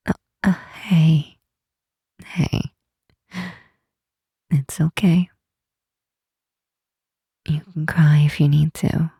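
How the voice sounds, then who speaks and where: A woman voicing a protective, commanding yet tender Demon Queen in an ASMR roleplay, speaking softly and breathily, often whispering, in a soothing, gently insistent way. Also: sits low, steady tone, extremely slow, pauses often, breathy edge, no room sound, studio quality, heavily acted. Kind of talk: ASMR roleplay, intimate comforting monologue.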